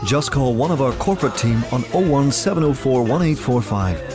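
Brief: male voice-over, measured ad read, music bed underneath. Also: mid-range voice, lively intonation. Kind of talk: scripted ad read.

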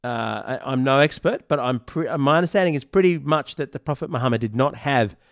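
A man's voice: mid-range voice, a little fast, vocal fry, no room sound. A man hosting a podcast, teasing his co-host with wry, dry humor in casual chat.

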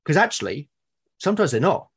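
A British man in casual, reflective conversation, explaining things in a halting, thoughtful way and often rephrasing himself. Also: on the high side, sing-song, creaky, fairly bright tone, husky. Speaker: podcast guest or co-host, tabletop game master. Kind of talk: casual reflective conversation about roleplaying games.

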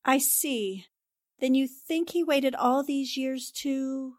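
An actress playing a shrewd newspaper reporter in a 1940s-style radio drama, questioning in a composed, pointed way. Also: female voice, sits high, steady tone, takes it slowly. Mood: skeptical. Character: shrewd, probing female newspaper reporter Anne Rogers.

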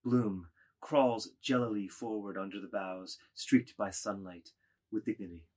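A man reading scripted literary stage directions as narrator, in a measured delivery. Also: on the low side, steady tone, a little mellow.

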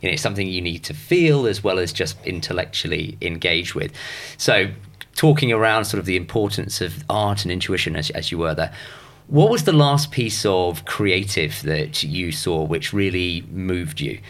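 Podcast host, man with a very low voice, measured questions. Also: expressive, a bit bright. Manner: measured, frequent short backchannels. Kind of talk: interview hosting.

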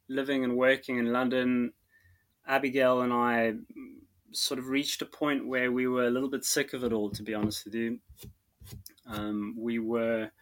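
A man in his thirties with a South African accent, talking about his farm as a podcast guest, slow and halting with many fillers and restarts. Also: lowish voice, a bit bright. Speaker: guest farmer. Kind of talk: podcast interview.

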